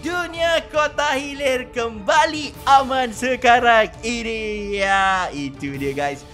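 A man with a Malaysian accent hosting a gaming video, giving excited, theatrical and repetitive role-play commentary on his play. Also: very high voice, slow, shouting, music in the background.

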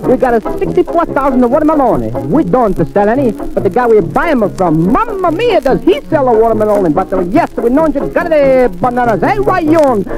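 Male, very high voice, animated comic song patter over prominent old-time music. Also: normal speed, few pauses, rough recording. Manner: comic, broken-English patter. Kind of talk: 1920s comic novelty song performance with spoken patter. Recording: vintage recording with loud music.

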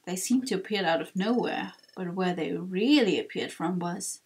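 A woman voicing an earnest, protective bird guide in a video game, grave and halting, with exclamations. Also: fairly low pitch, darkish tone, lightly acted. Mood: uneasy.

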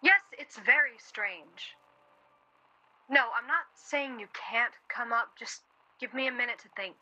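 Insistent concerned woman in a radio play, very bright sharp voice, pleading kinda exasperated. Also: on the high side, a little slow, highs cut off, heavily acted. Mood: hesitant, flustered. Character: insistent, concerned woman persuading a stubborn man. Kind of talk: naturalistic radio drama acting.

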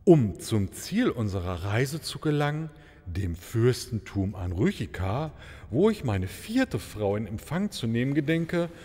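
A man's voice: medium pitch, big pitch swings, a little slow, dark tone. A man playing a courteous, dignified travelling maharaja in a fairy-tale audio comedy, speaking in formal, flowery euphemisms. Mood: regretful, dignified. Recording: long reverberant hall sound.

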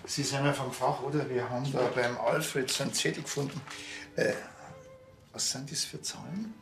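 An actor playing a laconic, deadpan small-town policeman with a Bavarian accent in a TV crime comedy. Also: medium pitch, normal speed, vocal fry, over music, lightly acted. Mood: dry, matter-of-fact. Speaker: police officer.

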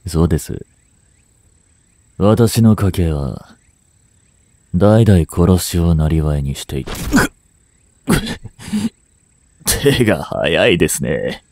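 Man acting as a low-voiced, proud young prince. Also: animated, takes it slowly, long pauses, a little mellow, dry recording, heavily acted. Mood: cornered, sardonic. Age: 20s. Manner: formal, pleading, theatrical. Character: proud, smooth-talking young prince unmasked as a spy. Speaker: amateur voice actor and voice drama creator. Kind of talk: dramatic Japanese voice drama acting.

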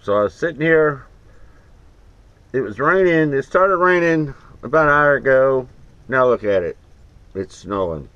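A man's voice: medium pitch, lively intonation, unhurried, long pauses, no room sound. A middle-aged man, a truck driver, talking to the camera from his cab in an easygoing, plainspoken, rambling way. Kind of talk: casual vlog narration. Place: inside a truck cab and outdoors beside it. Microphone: action camera mounted in the cab. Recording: dull camera audio with road noise.

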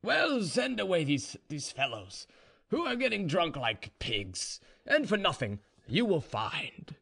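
A man doing a high, slow-drawling drunken boastful serf. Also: wildly swooping pitch, gravelly, fairly bright tone, exaggerated voice. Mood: defiant, commanding. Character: drunk, boastful Russian household serf, swaggering and defiant.

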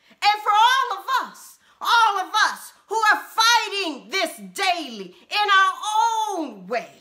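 A middle-aged woman pastor preaching a sermon from a small room at home, with impassioned repeated refrains and drawn-out emphasis. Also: extremely high pitch, pushed and bright.

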